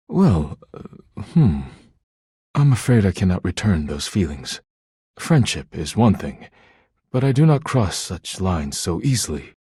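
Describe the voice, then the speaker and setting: Formal earnest gentleman, video game dialogue, deep low pitch, heavily breathy husk, measured diction, reserved. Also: no room sound, studio quality, heavily acted. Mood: awkward, reserved. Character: formal, earnest, reserved man, once workaholic, now tender. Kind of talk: scripted game dialogue, formal romance scenes.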